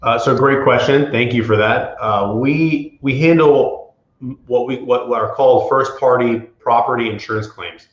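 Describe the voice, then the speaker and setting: A man's voice: medium pitch, a little slow, roomy sound, highs cut off. A man from the Florida Panhandle, an attorney speaking as an interview guest, talking casually with many fillers and restarts. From Florida Panhandle, USA. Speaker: property insurance attorney, guest.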